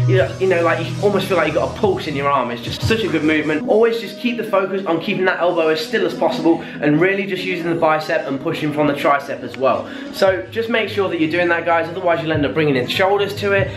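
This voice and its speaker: A British man in his early twenties talking casually and enthusiastically as a fitness vlogger, explaining a workout to camera. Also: on the high side. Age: early 20s. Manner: enthusiastic, informal. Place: small brick garage home gym, indoors. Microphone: camera at arm's length or propped nearby. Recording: echoey small room, music behind. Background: music.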